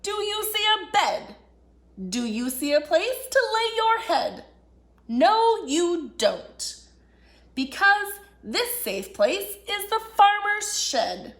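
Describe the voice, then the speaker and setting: A woman's voice: extremely high pitch, sing-song, slow, fairly bright tone, pitched above the performer's own voice, exaggerated voice. A woman reading a children's storybook as a tiny, unhappy male seed, indignant and emphatic.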